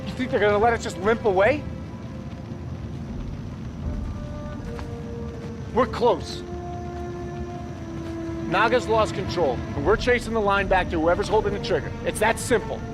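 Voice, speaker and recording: A man's voice: high-pitched, normal speed, music in the background, lightly acted. A man voicing a tough, driven special forces commander in a video game cutscene, terse and commanding. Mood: firm, unyielding. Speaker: military squad commander.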